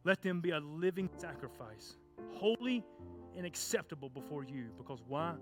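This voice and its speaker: A children's pastor, preaching fervently and then praying, loud and passionate at the peaks. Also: male voice, a little high, expressive, moderate pace. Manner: fervent shouting preacher, stomps and cries. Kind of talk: sermon and closing prayer. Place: church sanctuary. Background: soft guitar music.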